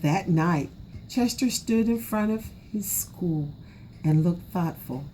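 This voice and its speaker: A woman's voice: lowish voice, animated, takes it slowly, mellow, slight background noise, rough recording. A woman narrating a children's storybook aloud expressively, as an educator would.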